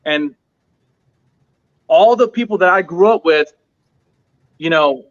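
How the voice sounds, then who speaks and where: Guest-interview read: high-pitched man, earnest and emphatic, hammering points through repetition, heard over muffled lo-fi audio. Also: slow. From United States. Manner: emphatic, repeats points for stress. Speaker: restaurant owner, interview guest. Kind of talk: earnest motivational storytelling.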